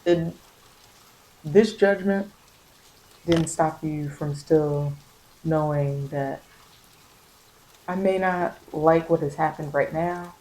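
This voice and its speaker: An American woman giving soft-spoken ASMR spiritual guidance as a tarot reader, meandering and repeating phrases to reassure. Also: low voice, fairly even intonation, slow pace, long pauses, a little hiss or hum, poor audio.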